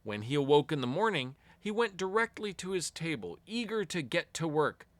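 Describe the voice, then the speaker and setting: A man reading a fairy tale aloud to children as an expressive storyteller, with deliberate storybook phrasing. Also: a little high, no echo.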